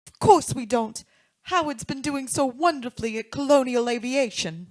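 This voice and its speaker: A woman's voice: high voice, moderate pace, darkish tone, no room sound, close to an everyday voice. A woman playing an anxious, well-off young married woman in an old-time radio drama, earnest and genteel. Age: young adult.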